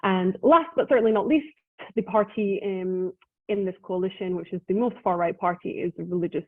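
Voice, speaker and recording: A woman's voice: medium pitch, brisk, dry recording. A woman with a Scottish Israeli accent, giving an informal talk as a guest speaker, articulate and conversational, with frequent ums. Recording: tinny dry phone-like call audio.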